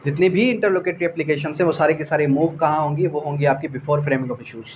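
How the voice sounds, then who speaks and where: A man's voice: fairly high pitch, fairly quick. A man with a Pakistani accent giving an explanatory law lecture in a didactic way, repeating phrases, asking rhetorical questions and mixing in English legal terms. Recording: dull lo-fi audio, faint background noise.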